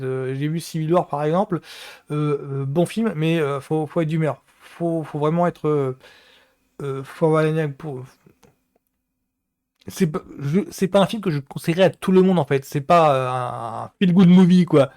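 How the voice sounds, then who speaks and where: A man giving a casual solo reaction to a film trailer, thinking aloud with many pauses and restarts. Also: a little high, a little mellow.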